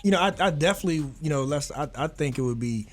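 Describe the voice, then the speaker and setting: A man's voice: a little high, lively intonation, fast, soft background music. A man hosting a talk show, asking questions in a rambling, upbeat way with many restarts.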